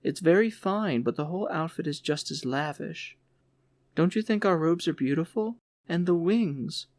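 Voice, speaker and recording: A man's voice: a little high, expressive, moderate pace, darkish tone, no room sound, pitched above the performer's own voice. A man voicing an eager, friendly young woman in an audiobook, with a chatty, enthusiastic manner. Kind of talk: audiobook character voice.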